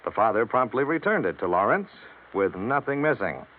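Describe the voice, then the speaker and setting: A male announcer delivers a scripted public service message in earnest, measured oratory. His intonation moves in lively swings. The old radio recording is narrow and tinny, like a phone line. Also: medium pitch.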